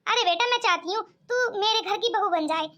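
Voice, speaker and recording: A woman's voice: very high-pitched, brisk, bright, forceful tone. A woman voicing a kindly, coaxing middle-aged mother in an amateur cartoon comedy skit.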